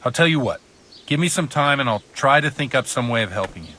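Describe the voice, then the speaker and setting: An actor playing a worldly, kindly but principled middle-aged village priest in a video game, speaking in a measured, fatherly way. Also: medium pitch, animated, slight background noise, rough recording. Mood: grave, conciliatory. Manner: measured, fatherly, gently philosophical. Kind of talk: video game cutscene acting.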